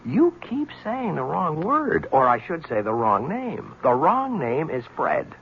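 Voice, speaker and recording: A man's voice: a little high, huge pitch swings, unhurried, gravelly, fairly bright tone, a little hiss or hum, full character voice. An actor playing a cocky, sardonic male newspaper reporter in a 1970s radio drama. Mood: teasing. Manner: sardonic, needling. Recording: dull lo-fi 1970s radio broadcast.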